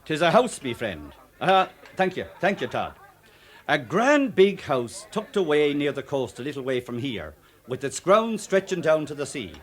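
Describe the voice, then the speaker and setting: An actor with an Irish accent playing a genial, talkative, canny police superintendent in a radio drama. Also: male voice, fairly high pitch, very animated, normal speed. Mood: genial, storytelling. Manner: folksy, cajoling, garrulous.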